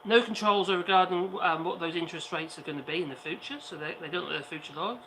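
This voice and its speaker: A man with a hoarse voice co-hosting a casual livestream chat outdoors, sarcastic and fond of rhetorical questions. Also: sits high, quick pace, sharp tone, a little hiss or hum, rough recording. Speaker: co-host, video channel maker. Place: outdoors on an island, sitting on cardboard.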